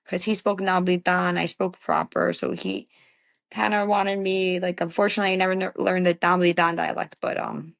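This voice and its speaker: A woman's voice: lowish voice, flat delivery, fairly quick, gravelly. A young woman from the Bronx with a New York accent, rambling casually as an interview guest and filling her talk with "like". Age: young adult. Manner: rambling, many fillers like "like".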